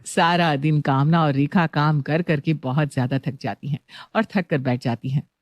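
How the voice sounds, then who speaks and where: A woman's voice: low voice, fairly even intonation, normal speed, no echo, muffled recording. A woman working as narrator, reading a scripted story.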